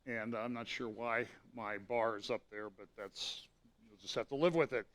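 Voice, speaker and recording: Raspy hoarse guy preaching at church, kinda bright edgy tone, talks loose with lots of ums. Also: mid-range voice, fairly even intonation, normal speed. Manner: conversational, with frequent fillers and restarts. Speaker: pastor giving weekly prophecy update. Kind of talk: church talk.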